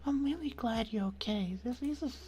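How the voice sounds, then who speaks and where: A woman hosting an ASMR live stream, chatting casually and affectionately to viewers in a soft, breathy voice that often drops into a whisper. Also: fairly high pitch, normal speed, soft background music. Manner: affectionate, intimate, uses pet names.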